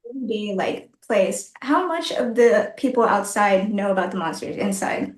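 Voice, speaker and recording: A woman's voice: mid-range voice, normal speed. A woman chatting casually as a podcast co-host and putting questions to a guest. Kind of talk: casual interview chat. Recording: roomy, echoing sound.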